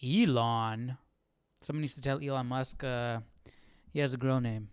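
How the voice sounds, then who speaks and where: A man's voice: mid-range voice, fairly even intonation, measured pace, clear, resonant tone, no echo. Man musing casually, very clean dry sound. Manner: musing aloud, informal. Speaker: host of a livestream Bible study. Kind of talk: casual live commentary on a reading.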